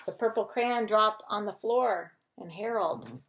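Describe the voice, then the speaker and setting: A woman's voice: medium pitch, unhurried, background noise. A woman reading a children's picture book aloud as a bedtime story, expressively, with chatty asides to young listeners.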